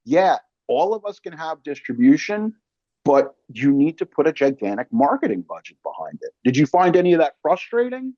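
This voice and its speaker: A slightly lo-fi, close and dry recording of a man with a somewhat high voice hosting a casual film conversation. He talks emphatically and slangily, reaching for analogies as he makes his points. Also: sing-song, moderate pace, dark tone, no room sound. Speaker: podcast host and interviewer.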